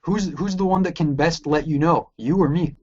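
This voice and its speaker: A man's voice: fairly high pitch, moderate pace, a little mellow, breathy edge. Man, heated debate, combative, repeats challenges, dull lo-fi sound.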